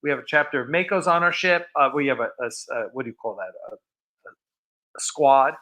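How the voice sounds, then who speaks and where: A man's voice: medium pitch, expressive, brisk, pushed and bright. A man hosting a casual livestream, chatting in a jokey, talkative way. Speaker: livestream host. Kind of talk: casual live chat.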